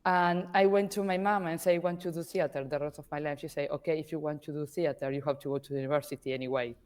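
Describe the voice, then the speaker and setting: Woman guest from Argentina, Spanish native speaker; lower register, unhurried, thinking aloud. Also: steady tone, a little mellow, clean tone. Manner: hesitant, searching for words in English. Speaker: podcast guest, artist manager. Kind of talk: conversational interview.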